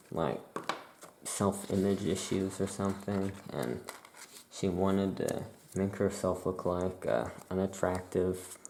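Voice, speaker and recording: A soft-spoken ASMR host playing a witch shopkeeper, casually improvising and thinking aloud in an unhurried, rambling way. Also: sits low, vocal fry, a little hiss or hum.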